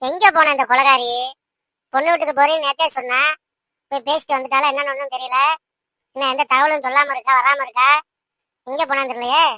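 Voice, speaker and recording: A woman's voice: sits high, steady tone, fast, pauses often, sharp tone, dry recording, low-quality recording. A woman voicing a scheming, impatient old village grandmother in a cartoon, bossy and brusque. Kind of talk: amateur cartoon dialogue in colloquial speech.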